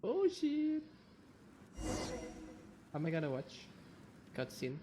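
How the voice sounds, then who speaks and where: A man giving casual, slangy, excitable reaction commentary as he plays a video game. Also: high-pitched, slow pace. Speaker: gameplay streamer. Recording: clear background noise, game sound.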